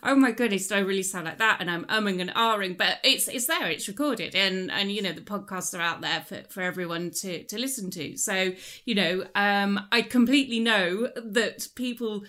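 A woman's voice: mid-range voice, normal speed, sharp tone. Agreeable woman hosting a podcast. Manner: agreeable, reflective. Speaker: podcast host. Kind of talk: casual interview chat.

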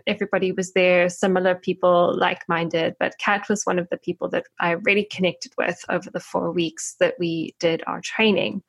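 A woman hosting a wellness podcast, introducing her guest with enthusiasm and quick bursts of speech. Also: medium pitch. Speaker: podcast host. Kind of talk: podcast interview.

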